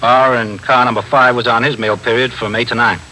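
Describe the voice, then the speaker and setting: Guy doing a dutiful old radio desk sergeant, rapid clipped delivery, bit of background hiss. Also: mid-range voice, fairly even intonation, bright, forceful tone, close to an everyday voice. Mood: dutiful, brisk. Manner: clipped, matter-of-fact, deferential. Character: dutiful police desk sergeant, brisk and deferential. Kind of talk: 1950s radio drama acting. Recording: faintly noisy 1950s radio broadcast.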